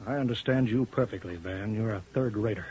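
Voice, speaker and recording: An actor playing a cool, commanding male chief in a 1950s radio drama, speaking deliberately and with authority. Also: lowish voice, breathy edge. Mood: taunting. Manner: authoritative, calmly needling, deliberate. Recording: dull muffled 1950 radio broadcast.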